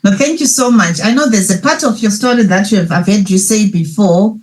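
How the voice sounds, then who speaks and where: A woman's voice: medium pitch, brisk, poor audio. A woman hosting a fireside chat on a video call, introducing guests and affirming them with frequent "mm"s. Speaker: host, moderator.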